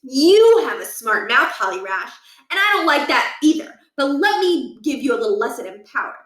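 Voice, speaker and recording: A woman's voice: high voice, big pitch swings, moderate pace, fairly bright tone, voice pushed up. A woman voicing a pompous, power-hungry older male school principal in a children's story, lecturing with condescension and menace. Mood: stern, condescending. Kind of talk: children's book read aloud. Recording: echoey room, distant from mic.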